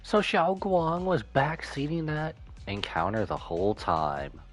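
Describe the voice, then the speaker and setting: A man's voice: fairly high pitch, huge pitch swings, measured pace, soft background music. A man streaming a game with casual live reaction commentary, slangy, joking and incredulous in manner.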